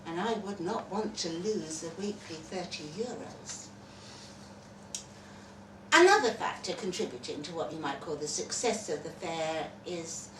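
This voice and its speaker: A woman giving an expressive live reading of a story, with wry delivery and dramatic pauses. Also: lowish voice. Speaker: reader of a short story at a festival. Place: live festival reading in an echoey room. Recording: echoey venue, far from mic, noisy.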